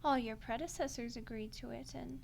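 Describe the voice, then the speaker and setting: A woman voicing an ancient female archdemon in a roleplay ASMR monologue, playful, condescending and coolly menacing, in an unhurried delivery with whispery touches. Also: on the high side, fairly even intonation, gravelly, a little hiss or hum. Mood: amused, smug.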